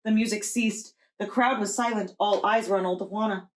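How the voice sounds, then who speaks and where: Expressive woman teacher reading to children. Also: mid-range voice. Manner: deliberate, expressive storytelling. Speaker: preschool teacher. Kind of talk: children's storybook read-aloud.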